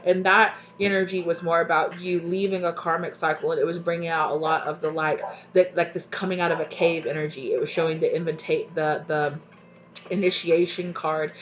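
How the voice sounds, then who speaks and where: A woman with a stuffy, congested voice rambles through a channeled tarot reading, her pitch held fairly flat. She keeps checking in with the listener as she goes. The home recording sounds dull and lo-fi, with a faint hiss behind her. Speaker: tarot reader. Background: dogs barking at times.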